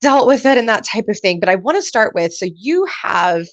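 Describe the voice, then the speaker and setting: A woman's voice: medium pitch, sing-song, normal speed, no echo, dull audio. A woman hosting a live career event over a video call, upbeat and laughing often. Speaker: host.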